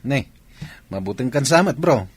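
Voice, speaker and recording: An actor with a Filipino accent playing an earnest, husky-voiced soldier readying his comrades for battle in a radio drama. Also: medium pitch, lively intonation, normal speed. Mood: earnest, urgent.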